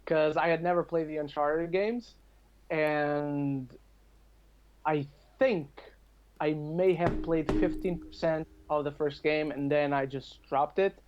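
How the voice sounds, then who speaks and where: A man's voice: fairly high pitch, fairly even intonation, slow, darkish tone, slight background noise. A man from Portugal chatting casually about video games, thinking aloud as he goes. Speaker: podcast speaker. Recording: slightly dull, lo-fi sound.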